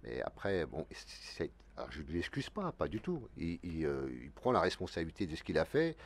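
Fast-talking deep-voiced man in his fifties. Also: slight background noise. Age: 50s. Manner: rambling, animated, many restarts. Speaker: local election candidate. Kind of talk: televised debate. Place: dark TV studio, seated at a desk. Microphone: desk mic.

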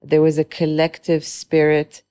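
Low-voiced reflective woman in her forties. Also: monotone, unhurried, mellow, clean tone. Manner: reflective, with frequent hesitations and restarts. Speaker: guest. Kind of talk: conversational interview.

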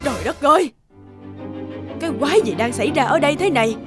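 A cruel middle-aged fairy tale stepmother. She scolds harshly and scornfully, her fairly high voice swinging widely in pitch. Music is clearly heard behind her. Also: animated, quick pace. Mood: furious. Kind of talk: dubbed cartoon fairy tale acting.

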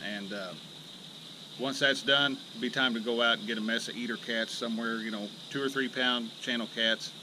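A folksy man talks to a boat-mounted camera at dusk, winded and excited after a fight with a fish. His voice sits at an ordinary middle pitch and he rambles with plenty of filler words. Heavy wind and lapping water roar over the open-air recording. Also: moderate pace. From Illinois, USA. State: out of breath. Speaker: fishing channel host. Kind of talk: casual outdoor vlog talk. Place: small boat on a lake outdoors at dusk. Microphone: action camera mounted in the boat. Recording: loud wind and water noise outdoors.

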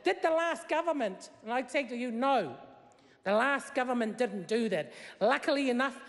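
A woman's voice: fairly high pitch, expressive, normal speed. A middle-aged woman whose first language is Tongan, giving an earnest parliamentary speech at a desk mic, with many fillers and rising emphasis. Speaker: member of parliament. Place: large wood-panelled debating chamber. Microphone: desk microphone. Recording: long chamber reverb.

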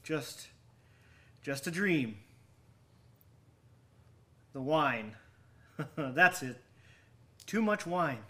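Uneasy, slow-spoken man. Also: fairly high pitch, big pitch swings, sharp tone, heavily acted. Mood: frightened, self-soothing. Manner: halting, muttering self-reassurance. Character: uneasy, brooding man haunted by shipwreck tales. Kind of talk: radio drama acting.

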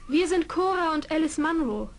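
A well-bred young lady in an old radio play. Her voice is high, with polite, carefully spoken stage diction. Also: animated, moderate pace. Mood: earnest. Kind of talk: German radio play acting.

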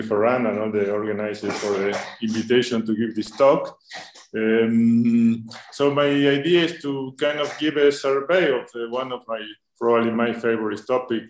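A man's voice: on the low side, echoey room, slight background noise. A man with an Argentinian accent giving a math talk over a video call, hesitant and full of fillers. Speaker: invited math speaker. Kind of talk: academic lecture on video call.

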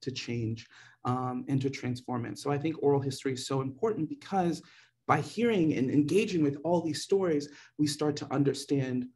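A man with a somewhat high voice talks about theater with passionate emphasis. He builds his argument through rhetorical questions and sounds deeply invested in it. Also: a little mellow. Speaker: panelist, theater artist. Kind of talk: reflective panel discussion.

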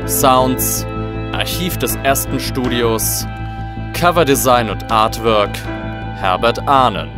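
A man's voice: medium pitch, slow pace. A man reading scripted credits as the announcer of an audio drama. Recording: loud music under the voice.

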